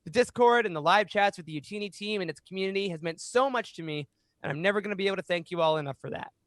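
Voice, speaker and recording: A man in his thirties with a notably high voice presents a fan podcast. He speaks emphatically and with animation. The sound is dry and close on a studio mic. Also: fast talker, fairly bright tone, dry recording. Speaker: podcast host. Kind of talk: reading aloud and hosting a fan podcast. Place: small home room, indoors. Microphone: close studio microphone on boom arm.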